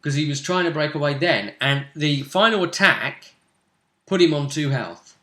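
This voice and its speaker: A man's voice: fairly high pitch, expressive, moderate pace, pushed and bright. A man casually recapping a tabletop role-play session as its game master, in conversation. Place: indoor room. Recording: roomy echoing home recording.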